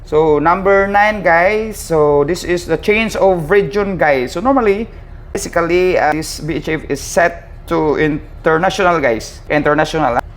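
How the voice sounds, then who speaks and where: A man with a slightly high voice teaches in a casual, hands-on tutorial style. He explains step by step as an instructor and host, haltingly, repeating himself and often addressing his listeners directly. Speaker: maritime instructor and channel host.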